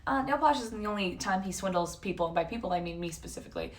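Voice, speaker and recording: A woman's voice: medium pitch, expressive, racing, far from the mic. A woman in her early twenties with a North American accent, chatting casually to livestream viewers in a rambling, slangy, self-interrupting way. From San Jose, California and Toronto, Canada. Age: early 20s.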